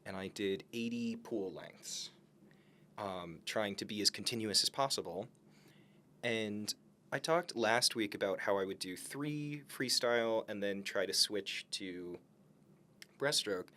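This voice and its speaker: A man's voice: lowish voice, unhurried, bright, forceful tone, a little hiss or hum. A man co-hosting a podcast in casual conversation, speaking in an encouraging, reflective way. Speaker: podcast co-host. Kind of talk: casual conversation about self-improvement.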